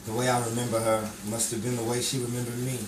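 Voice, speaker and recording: An actor playing an earnest, wistful romantic hero in a nostalgic radio drama, with a halting delivery. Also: male voice, mid-range voice, monotone, noisy background, lightly acted. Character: wistful romantic hero recalling his lost love. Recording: roomy, distant live stage recording, crowd.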